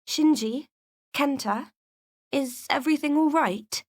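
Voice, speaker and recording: A woman's voice: high-pitched, takes it slowly. A woman playing an earnest, level-headed cartoon heroine, determined and encouraging. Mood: worried. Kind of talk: scripted character dialogue.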